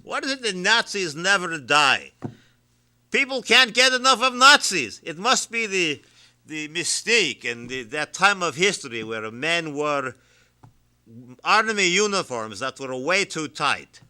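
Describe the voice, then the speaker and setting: A man hosting a comedy radio show, teasing his guest in a deadpan manner and stammering on restarts. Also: a little high, measured pace.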